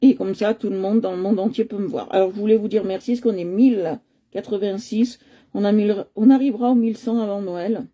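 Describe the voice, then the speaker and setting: A woman's voice: mid-range voice, fairly even intonation, brisk, warm tone. A woman from Nice hosting a live coloring stream, chatting casually with many hesitations and fillers, talking into a computer at home. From Nice, France.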